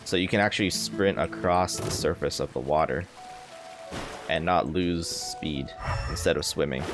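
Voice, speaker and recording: Man, low voice, casual gameplay commentary, live stream, clear game music behind. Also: moderate pace. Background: loud video game music.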